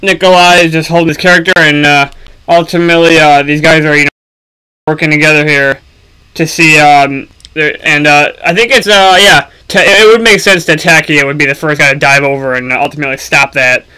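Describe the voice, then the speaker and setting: A man giving casual, unscripted gaming commentary for a video, speaking haltingly with frequent ums and pauses. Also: on the high side, fairly even intonation, sharp tone, clean tone, poor audio.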